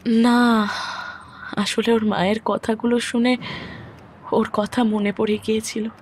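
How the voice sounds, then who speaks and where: A woman's voice: a little high, level tone, takes it slowly, darkish tone, slight background noise. A woman playing a weary, reserved young widowed mother in an audio story, speaking in a subdued, hesitant way. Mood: wistful grief. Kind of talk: Bengali audio story acting.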